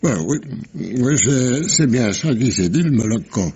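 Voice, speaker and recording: An actor playing a rough, tipsy sailor spinning a sea yarn in slangy, rambling, halting speech, in 1950s French radio mystery style. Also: male voice, mid-range voice, expressive, dark tone, dull audio, full character voice. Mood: conspiratorial.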